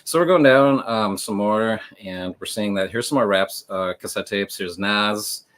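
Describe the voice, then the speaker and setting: Male livestream host, on the low side, conversational explainer with a hesitant, meandering flow. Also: a little slow, fairly bright tone.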